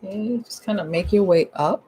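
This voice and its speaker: A woman with a hoarse, husky voice giving a casual, chatty step-by-step painting tutorial at home, repeating her instructions in informal language. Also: mid-range voice, a little fast, mellow.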